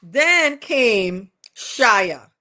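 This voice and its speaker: A woman's voice: on the high side, sing-song, drawn-out words, bright, forceful tone. A woman with a West Indian accent hosting a livestream, chatting casually and rambling with lots of self-corrections as she recaps court testimony. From West Indies. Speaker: livestream host.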